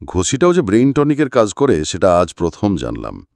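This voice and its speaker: A man voicing a calm, sharp-witted private detective in an audiobook, assured and reassuring. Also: fairly low pitch, normal speed, creaky, no room sound, clean studio sound, exaggerated voice. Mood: wry. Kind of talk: audiobook character acting.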